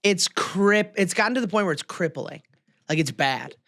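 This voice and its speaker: A man's voice: high-pitched, animated, moderate pace, a bit bright, dry recording, studio quality. An American man co-hosting a podcast, chatting casually and emphatically, often repeating himself for stress. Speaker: podcast co-host.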